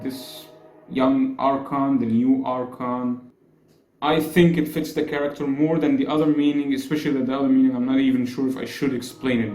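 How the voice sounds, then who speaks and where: A man's voice: medium pitch, a little mellow. A young man from Egypt with an Egyptian accent, chatting in a casual explainer vlog, hedging often and gesturing as he talks. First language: Arabic. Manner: chatty, hedging, gestures a lot with his hands. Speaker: YouTuber. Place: small room with blue walls, indoors. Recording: echoey small room.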